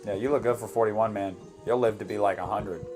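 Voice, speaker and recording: A young man with a fairly low voice, chatting loosely over a live movie commentary. He talks in a relaxed, rambling way and lets his phrases trail off, with faint music under him. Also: a little fast. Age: 20s. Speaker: co-host of a movie commentary channel. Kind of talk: casual live chat with viewers.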